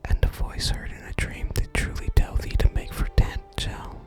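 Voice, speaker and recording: A gaming ASMR creator speaking in a soft breathy whisper, gently and deliberately reading game dialogue and adding casual commentary. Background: game music.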